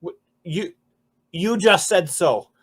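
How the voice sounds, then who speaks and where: High, stammering, cringing stool pigeon. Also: male voice, lively intonation, slow pace, fairly bright tone, slightly rough, full character voice. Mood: frightened. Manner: stammering, whining, slangy. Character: nervous, cringing male underworld informant. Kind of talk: 1940s radio acting.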